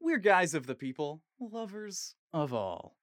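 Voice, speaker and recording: Man acting animated, awkward young slacker guy. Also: high voice, measured pace, no echo, studio quality. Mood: eager, showing off. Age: young adult. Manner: slangy, awkward, stammering. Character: eager, awkward young slacker guy who makes beats. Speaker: actor in a student audio drama. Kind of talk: audio drama acting.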